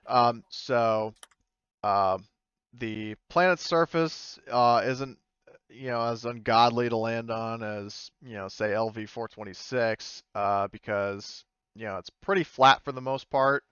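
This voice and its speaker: A man's voice: mid-range voice, fairly bright tone, clean tone, dry recording, highs cut off. A man speaking casually as game master of an online tabletop game, hesitant and often correcting himself as he narrates. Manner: hesitant, halting, self-correcting. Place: online voice call.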